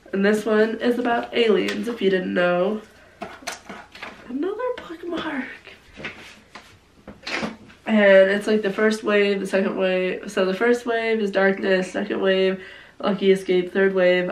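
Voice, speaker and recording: A hoarse young woman chatting casually about her favorite books, gushing and saying "like" often, with a cough now and then. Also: medium pitch. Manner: gushing, heavy use of "like". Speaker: book vlogger. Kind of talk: casual book review chat. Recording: roomy, distant mic, faint noise.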